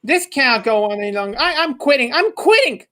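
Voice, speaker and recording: Food-obsessed, childlike video-game hero played by a woman; high voice, melodramatic stammer, stubborn temper. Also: sing-song, fast talker, pushed and bright, full character voice. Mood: indignant.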